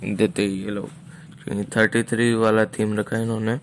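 An Indian man hosts a casual gaming showcase in a very flat, monotone delivery. His voice sits fairly low with a creaky edge, and he tends to repeat phrases as he goes. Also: brisk, crowd noise. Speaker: gaming video creator and host. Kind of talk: casual game showcase commentary.

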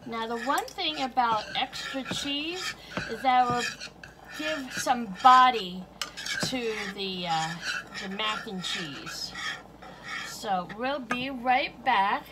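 A woman talking casually through a cooking demonstration in her home kitchen, homey and unhurried, chuckling now and then. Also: fairly high pitch, background noise. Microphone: fixed camera at counter, speaker moving around.

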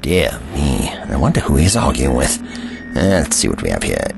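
Man acting a deep-voiced, fussy old time traveller. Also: big pitch swings, moderate pace, vocal fry, exaggerated voice. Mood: musing. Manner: fussy, old-fashioned phrasing, muttering asides. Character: eccentric, crotchety old man time traveller, shrewd and courteous. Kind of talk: audio drama acting. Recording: loud music bed.

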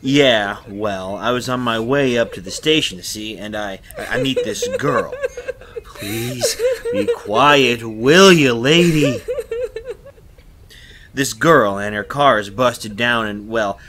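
Flustered everyman for radio drama, played by a man: folksy, halting, slow, very animated pitch. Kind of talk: retro radio drama acting.

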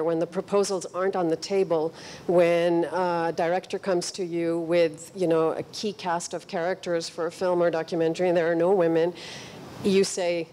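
Panelist, female, middle-aged. Slightly low pitch, soft dark tone, measured discussion style with searching phrasing. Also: a little hiss or hum. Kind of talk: considered panel discussion talk. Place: stage of a cinema auditorium. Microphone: handheld microphone.

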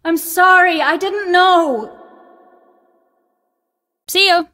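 A woman's voice: very high-pitched, drawn-out words, bright, forceful tone, no echo, clean studio sound, heavily acted. A woman voicing a bossy, competitive character at a dig site who explains a board game. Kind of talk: video game voice lines.

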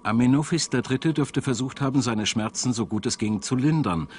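A man with a standard German accent narrating a scripted documentary in a measured, dramatic storytelling manner. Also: medium pitch, darkish tone, slight background noise. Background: background music.

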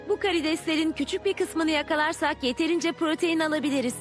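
A woman's voice: high-pitched, quick pace, faint music behind, close to an everyday voice. A woman voicing a confident young pupil explaining ideas in a dubbed educational cartoon.

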